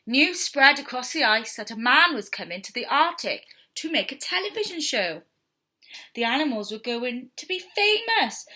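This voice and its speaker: A woman reading a story aloud to young children in an expressive, theatrical storytelling style. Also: high-pitched. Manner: theatrical, with whispers and sound effects.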